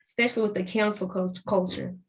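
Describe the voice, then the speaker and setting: A woman's voice: medium pitch, steady tone, a little fast. A young woman with a Southern US accent, a podcast guest talking animatedly in casual chat and often restarting her phrases.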